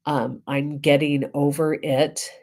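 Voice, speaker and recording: A woman reverend getting over a head cold, giving a reflective spiritual talk with deliberate, emphatic phrasing and pauses. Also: low voice, level tone, a little mellow.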